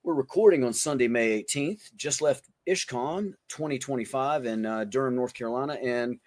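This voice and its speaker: A man's voice: medium pitch, normal speed, darkish tone, no room sound, studio quality. A man co-hosting a podcast in loose, casual chat, blunt and joking with some swearing. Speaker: podcast co-host. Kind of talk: casual chat among friends.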